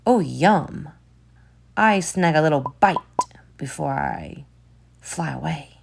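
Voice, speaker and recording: Female teacher, storybook read-aloud; low, gravelly voice with lively swings, unhurried; hushed asides. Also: a little hiss or hum. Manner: expressive, drops to hushed whispered asides. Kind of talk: children's book read-aloud.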